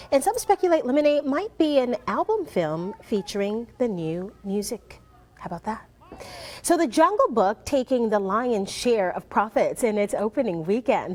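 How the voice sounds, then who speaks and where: A woman anchor presenting entertainment news, with casual asides between items. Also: medium pitch.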